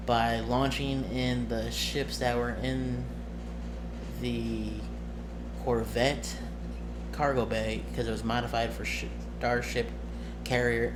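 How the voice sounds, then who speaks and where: A man talking casually to his viewers as a streamer and game master, halting and rambling as he corrects himself. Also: mid-range voice, noisy background.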